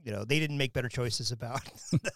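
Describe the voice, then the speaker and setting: A man from Wyoming hosting an academic podcast, talking in a loose, rambling way with many restarts and quick laughs. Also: medium pitch, vocal fry, fairly bright tone, dry recording, clean studio sound. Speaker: podcast host. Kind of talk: casual academic interview.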